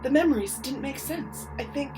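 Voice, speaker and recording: A woman playing a disturbed, eerie teenage girl with a breathy voice in a horror story. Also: sits high, expressive, moderate pace, over music, pitched above the performer's own voice. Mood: confused, uneasy.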